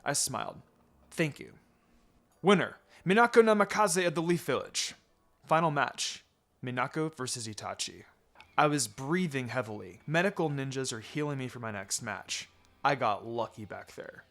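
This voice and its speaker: A man's voice: mid-range voice, animated, moderate pace, a bit bright. A man reading fan fiction aloud as a dramatic, theatrical narrator who acts out the dialogue. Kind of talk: dramatic scripted narration of a story. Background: background music.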